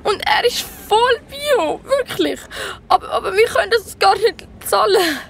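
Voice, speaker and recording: A woman's voice: very high-pitched, expressive, vocal fry, a bit bright, a little hiss or hum, full character voice. A woman playing an anxious, streetwise schoolgirl in a children's radio drama, stammering in Swiss German slang. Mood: tearful, panicked. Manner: stammering, halting, youthful slang.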